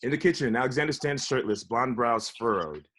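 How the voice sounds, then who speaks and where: A man's voice: mid-range voice, lively intonation, a little fast, a bit bright. A man with a mid-range voice reads scripted stage directions. He serves as narrator of a table read. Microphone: video call.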